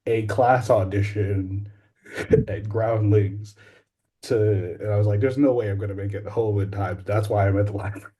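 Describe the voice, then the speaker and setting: A man's voice: on the low side, fairly even intonation, moderate pace, mellow. A man talking casually on a podcast panel as a voice actor. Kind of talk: casual chat. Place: library, on a remote call.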